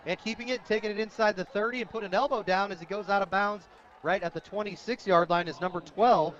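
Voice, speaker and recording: An American man calling high school football play-by-play on the radio, excitable when a big play comes. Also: quick pace. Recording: dull, lo-fi outdoor radio broadcast.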